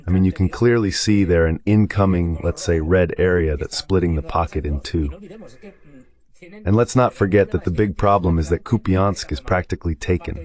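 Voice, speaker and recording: A man reading a translated voice-over of political and military commentary in a measured delivery. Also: sits low, darkish tone.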